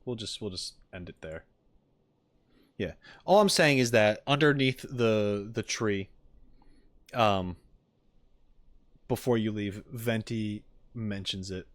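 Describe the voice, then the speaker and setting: Male streamer, low voice, casual and hesitant, thinking out loud to viewers. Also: slow, pauses often. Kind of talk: casual chat.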